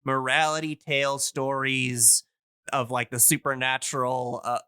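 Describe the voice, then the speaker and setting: A man in a casual explanatory podcast chat, talking in a hesitant, halting way as he thinks aloud. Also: medium pitch, little pitch movement, sharp tone, smooth, dry recording, studio quality.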